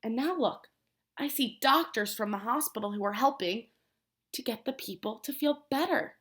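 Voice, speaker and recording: A woman teacher reading a picture book aloud to young children, explaining simply and prompting them with questions in an expressive way. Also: a little high, moderate pace. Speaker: preschool teacher.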